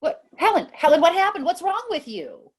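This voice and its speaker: Woman as high, animated, worried radio-drama woman. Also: moderate pace. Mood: alarmed concern. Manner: urgent, fussing, questioning. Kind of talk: old-time radio suspense acting.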